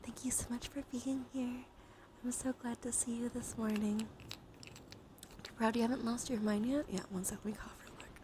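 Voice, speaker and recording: A woman's voice: on the high side, fairly even intonation, moderate pace. Whispering ASMR woman, noisy room. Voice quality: breathy whispering. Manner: soothing, encouraging, intimate. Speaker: live ASMR streamer. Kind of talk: ASMR, whispered and softly spoken reassurance. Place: small warm room with computers running. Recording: noisy room ambience behind voice.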